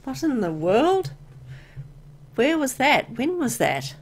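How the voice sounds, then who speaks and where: A woman reading a visual novel aloud as dramatic scripted narration, with an expressive storytelling delivery. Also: sits high, slow pace, a little hiss or hum.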